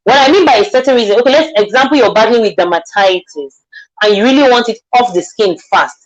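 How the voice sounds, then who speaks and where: A woman's voice: a little high, fast talker, dull audio. A Nigerian woman, a makeup artist, explaining things earnestly in a live online interview and often checking that she is understood. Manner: earnest, repeats herself, asks "do you understand".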